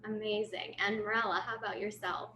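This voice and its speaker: A woman's voice: medium pitch, flat delivery, fairly quick, bright, forceful tone. A woman interviewing two dancers, enthusiastic and full of praise. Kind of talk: friendly interview questions. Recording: echoey room with faint noise.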